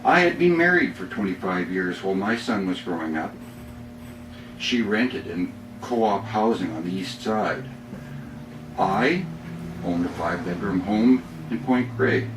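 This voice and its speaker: Middle-aged Canadian male poet, deliberate dramatic phrasing, echoey room, faint background noise. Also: deep voice, animated. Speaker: poet reading own work. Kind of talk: live poetry reading. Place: bookstore reading before a small audience. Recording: roomy, distant mic, faint noise.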